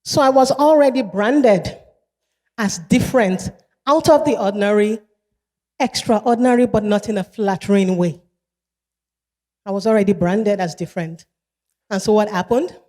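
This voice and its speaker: A woman with a Nigerian accent delivers a keynote in a large event hall, her warm, mellow voice trailing into a long reverb. She speaks in a measured way and repeats key phrases for emphasis. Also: mid-range voice. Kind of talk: motivational keynote speech.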